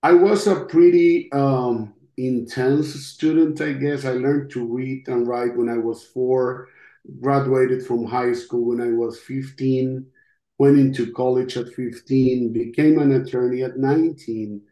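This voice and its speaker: A middle-aged man from Costa Rica with a Costa Rican Spanish accent gives personal testimony in an interview, speaking in a halting, reflective way. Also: medium pitch, fairly even intonation, dark tone. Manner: halting, reflective, with long pauses. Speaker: interview guest, podcast host. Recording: roomy, echoing sound.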